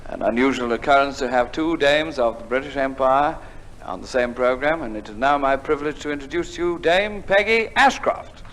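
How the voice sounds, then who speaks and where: A man's voice: medium pitch, moderate pace. A man acting as compere, giving a formal introduction from the stage of a 1950s theatre. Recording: noisy 1950s live theatre recording.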